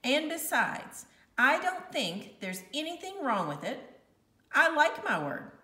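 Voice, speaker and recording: A woman's voice: high voice, very animated, takes it slowly, pushed and bright, voice pushed up, full character voice. A woman voicing an earnest, plucky fifth-grade schoolboy in a children's storybook, hesitant yet determined. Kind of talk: children's storybook read-aloud. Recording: echoey room, distant mic.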